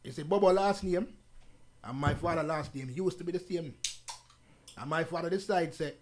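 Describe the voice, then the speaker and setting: A man from the UK with a Jamaican accent, the guest on an interview show, telling stories in a relaxed, patois-laced way. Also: on the high side, normal speed. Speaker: guest artist. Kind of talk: casual interview chat.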